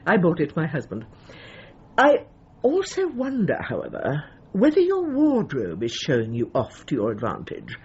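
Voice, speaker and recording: An actress playing a haughty, brisk older dog trainer in a radio comedy drama, with a British accent and an imperious, old-fashioned, patronising manner. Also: female voice, sits low, huge pitch swings, darkish tone, husky, lo-fi sound, full character voice. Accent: British English.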